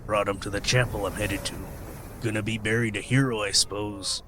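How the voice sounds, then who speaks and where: An actor playing a weathered old sailor in a radio play, telling grim tales in a folksy, rustic, hedging manner. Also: male voice, on the low side, steady tone, brisk, noisy background, lightly acted. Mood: grave, ominous.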